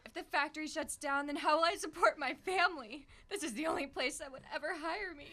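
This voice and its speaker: Woman as worried factory worker, high-pitched, fawning, fretful, campy radio drama. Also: quick pace, fairly bright tone. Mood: fawning, distraught. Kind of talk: campy radio comedy acting. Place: small radio studio.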